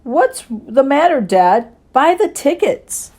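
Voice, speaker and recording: A woman voicing an excitable, hopeful little boy in a storybook, wide-eyed and childlike. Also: high-pitched, slow, pitched above the performer's own voice, heavily acted. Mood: pleading, hopeful.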